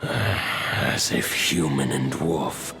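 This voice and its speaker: An actor voicing a grave, wise ancient godlike being in a video game, solemn and deliberate. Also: male voice, a bass voice, lively intonation, creaky, pushed and bright, big-room sound, faint music behind, heavily acted, poor audio. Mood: ominous. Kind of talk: scripted video game acting.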